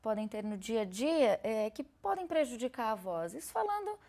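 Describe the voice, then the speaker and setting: A woman hosts an interview, putting open, practical questions to her guest. Between answers she offers quiet, brief affirmations. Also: on the high side, big pitch swings, normal speed, noisy background. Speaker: interviewer host.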